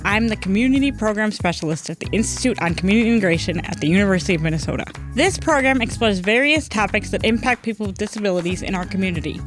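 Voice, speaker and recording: A woman's voice: mid-range voice, expressive, fast talker, few pauses, over music. A woman hosting a podcast, speaking in an upbeat, friendly way with frequent fillers. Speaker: podcast host. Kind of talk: scripted intro then casual interview.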